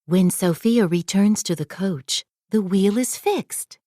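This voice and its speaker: A woman reading a children's story aloud as a scripted, expressive narration. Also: medium pitch, takes it slowly, no room sound, studio quality. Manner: expressive read-aloud delivery.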